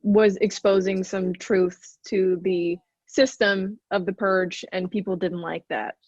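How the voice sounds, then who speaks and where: A woman from Arkansas talks in a relaxed, chatty way, and a light Arkansas lilt slips into some of her words. Her voice is recorded close and dry, with a slightly muffled, dull tone. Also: mid-range voice, slow pace, no room sound. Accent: light Arkansas Southern. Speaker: actor, interview guest. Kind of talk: casual interview chat.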